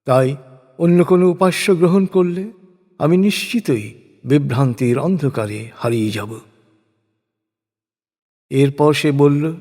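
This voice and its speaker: A man gives a solemn, scripted recitation of translated scripture in a measured, declamatory way. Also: a little high, a little mellow. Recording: long hall-like reverb.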